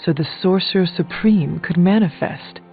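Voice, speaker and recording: An earnest, higher-voiced loyal warrior. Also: male voice, normal speed, creaky. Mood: earnest, reassuring. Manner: formal, earnest, plain-spoken. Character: earnest, steadfast warrior, formal and loyal supporter. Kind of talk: video game character dialogue. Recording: muffled game audio under music.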